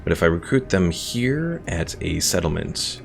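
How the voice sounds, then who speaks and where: A man casually commentating his strategy game stream, explaining mechanics and answering viewers' questions in a relaxed way. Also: sits low, normal speed, creaky, faint music behind.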